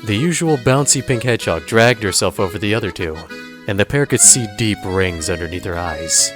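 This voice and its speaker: A man's voice: lowish voice, expressive, moderate pace, music in the background. A man narrating an audio drama in a dramatic, scripted storytelling style.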